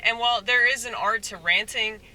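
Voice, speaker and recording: A man rambling in a soft-spoken, halting way, full of fillers, as a stand-up comedian musing aloud. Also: extremely high pitch, a little hiss or hum. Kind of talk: rambling casual monologue.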